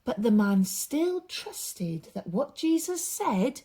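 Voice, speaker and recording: A woman reading a storybook aloud for young children, with dramatic emphasis and drawn-out repeated words. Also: fairly high pitch, sing-song, dark tone, breathy edge.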